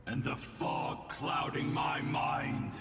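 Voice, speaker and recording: An actor playing a solemn, imperious godlike being in a game cutscene, making grandiose proclamations. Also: male voice, mid-range voice, slow, distant mic, lightly acted. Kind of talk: scripted game cutscene acting. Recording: echoey hall reverb, loud orchestral music behind.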